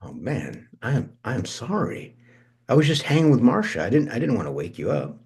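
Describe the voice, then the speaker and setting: A man's voice: mid-range voice, sing-song, brisk, a little mellow, husky, exaggerated voice. A man acting a laid-back, breezy male slacker in a casual, slangy way at a script read. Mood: sheepish apologetic. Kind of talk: table read of a film script.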